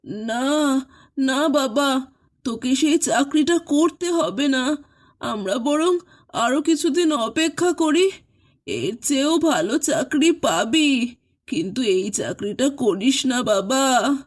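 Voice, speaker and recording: A woman playing an anxious, tender elderly mother of a grown son in an animated horror story, pleading and soothing. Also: high-pitched, takes it slowly, heavily acted. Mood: fearful, pleading.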